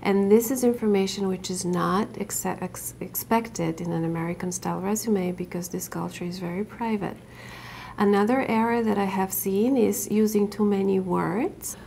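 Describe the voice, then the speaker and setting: A woman with a gravelly edge to her voice explains resume writing as a workshop instructor. She talks in a measured, instructive way and pauses on fillers now and then. There is a faint hum behind her. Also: medium pitch, dark tone. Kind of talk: interview explaining resume advice.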